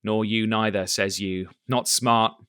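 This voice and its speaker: A man voicing a blustering, cunning old one-legged pirate cook who shouts in salty sailor talk. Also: on the low side, fairly even intonation, a little slow, smooth, no room sound, clean studio sound. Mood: jovial.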